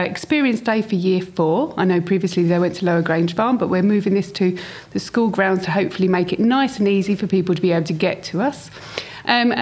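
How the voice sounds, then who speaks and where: A woman teacher giving parents a friendly, slightly nervous update about a class day out. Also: medium pitch.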